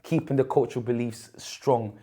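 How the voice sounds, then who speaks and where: A young man from Colombia speaks in a relaxed podcast conversation. He tells stories in a rambling, chatty way, full of fillers and restarts, at a middling pitch. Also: moderate pace, breathy edge. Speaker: podcast guest. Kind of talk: casual interview chat.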